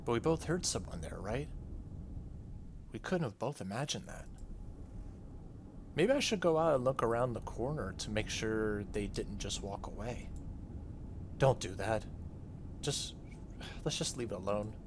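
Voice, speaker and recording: A man's voice: on the low side, lively intonation, unhurried, long pauses, background noise. Man reading as an uneasy youngster in a horror game. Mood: worried. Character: uneasy slumber-party youngster in a horror visual novel.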